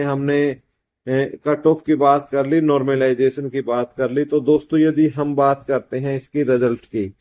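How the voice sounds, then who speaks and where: A man's voice: fairly high pitch, darkish tone. A man giving an informal exam-update explainer as a coaching teacher, repeating himself and addressing students as friends. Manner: repetitive, addresses listeners as friends, often checks with "okay".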